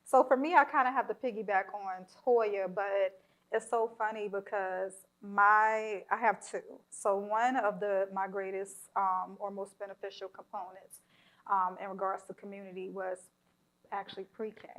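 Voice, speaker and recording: A woman's voice: medium pitch, fairly even intonation, a little slow, a bit bright, low-quality recording. A woman hosting a casual podcast chat, asking questions and sharing her own memories with many fillers. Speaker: podcast host.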